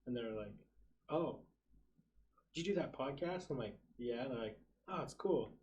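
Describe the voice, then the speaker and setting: A man's voice: mid-range voice, animated, normal speed, darkish tone, highs cut off. A man in his twenties hosting a casual podcast chat, laid-back and swearing freely, in a small studio room. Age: 20s. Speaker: podcast host.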